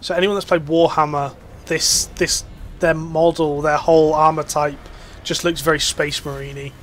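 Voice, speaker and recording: A man's voice: a little high, little pitch movement, normal speed, fairly bright tone, a little hiss or hum. Young man chatting casually over gameplay. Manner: rambling, filler-heavy, enthusiastic. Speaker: gaming YouTuber.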